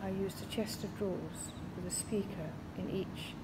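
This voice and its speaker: A woman in her fifties, an artist, calmly explaining her work while working in a print studio. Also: mid-range voice. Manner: thoughtful, unhurried, with fillers. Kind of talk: casual explanation of her artwork. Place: large print studio with skylights. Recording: loud studio noise, radio behind.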